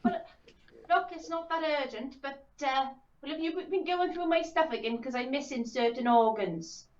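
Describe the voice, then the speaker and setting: A scolding, sarcastic expedition researcher played by a woman speaks in a high voice. The sound is roomy and echoey, carried over a dull, muffled video link. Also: normal speed, a little mellow, slight background noise, natural voice. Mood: suspicious. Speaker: actor in a telepresence improv rehearsal.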